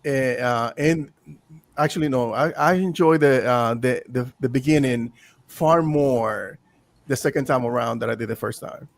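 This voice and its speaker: Gravelly man in his fifties, rambling. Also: mid-range voice, moderate pace, no echo. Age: 50s. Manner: rambling, self-correcting. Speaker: guest reviewer. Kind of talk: casual chat.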